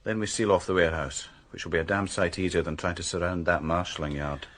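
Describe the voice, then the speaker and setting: A shrewd senior detective in a radio crime drama. His voice is low and husky. He lays things out in a measured, assured way, sure of himself. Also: fairly even intonation, a bit bright. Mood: sly, confident. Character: shrewd, confident male senior detective planning a trap. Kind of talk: naturalistic radio drama dialogue.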